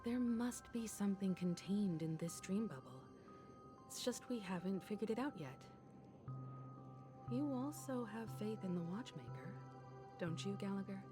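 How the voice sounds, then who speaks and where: A steadfast, idealistic young game heroine, played by a woman, speaks sincerely at a medium pitch. Music is clearly heard behind her. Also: expressive, moderate pace, lightly acted. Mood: earnest, resolute.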